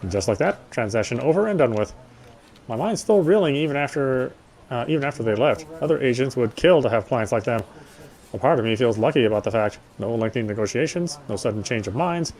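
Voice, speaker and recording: A man with a medium-pitched voice reads story text from a game aloud. He is casual about it, drops in his own comments and now and then trips over a line and rereads it. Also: animated, a little fast, darkish tone, rough recording. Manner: stumbles and rereads lines. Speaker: gamer reading a visual novel aloud. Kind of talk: reading game narration with casual asides.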